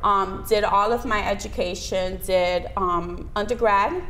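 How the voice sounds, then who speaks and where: A woman's voice: medium pitch, steady tone, unhurried, fairly bright tone. Woman, noisy studio recording. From Miami, United States. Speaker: interview guest, lawyer. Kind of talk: conversational interview answers. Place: small indoor video studio, seated at desk. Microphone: lapel mic.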